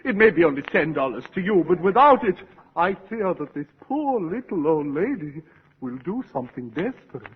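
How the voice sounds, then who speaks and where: Through a tinny, crackly 1940s radio recording comes a florid ham gentleman sponger. His high voice swoops with pompous, grandiloquent flattery and theatrical dignity. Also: normal speed. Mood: pleading. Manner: theatrical, grandiloquent, orotund phrasing. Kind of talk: 1940s radio comedy acting.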